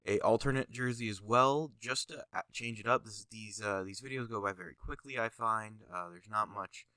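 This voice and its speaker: Stuffy young man, bright tense voice. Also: fairly low pitch, moderate pace, smooth, no room sound. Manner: rambling, lots of fillers, goes on tangents. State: stuffy nose, sniffling, tired. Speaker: channel host reviewing his own jersey designs. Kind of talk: casual commentary over design images.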